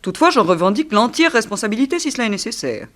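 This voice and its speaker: A woman's voice: fairly high pitch, sing-song, rapid-fire, fairly bright tone. An actress playing a self-possessed young woman under suspicion, formal, precise and coolly defiant in a radio drama. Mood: composed, defiant. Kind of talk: theatrical radio drama acting.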